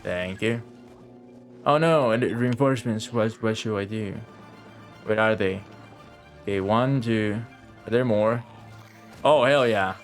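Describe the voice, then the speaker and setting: A man's voice: fairly low pitch, unhurried, long pauses. A young man doing casual live gameplay commentary, slangy and full of swearing. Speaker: game streamer. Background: game music.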